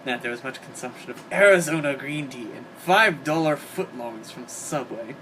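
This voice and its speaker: Male reader, mid-pitched, dramatic delivery of comic fan fiction, noisy amateur setup. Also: expressive, moderate pace, a bit bright. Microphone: home microphone on an internet call. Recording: hobby voice-call recording, clear background noise.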